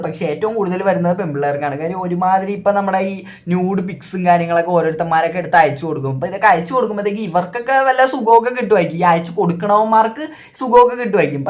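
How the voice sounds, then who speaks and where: A reaction video host with a Kerala accent, giving opinionated, repetitive casual commentary. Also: medium pitch.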